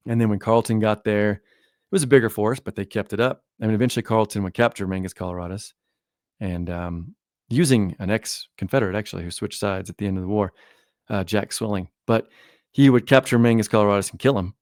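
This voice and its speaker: A man with a somewhat low voice that is worn and hoarse from illness. He tells history in a loose, rambling way and often stops to correct himself. The recording is dry and close, with no room sound. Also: fast talker, darkish tone, no echo. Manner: rambling storytelling with self-corrections. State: losing his voice, sick. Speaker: guest historian. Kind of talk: casual podcast interview.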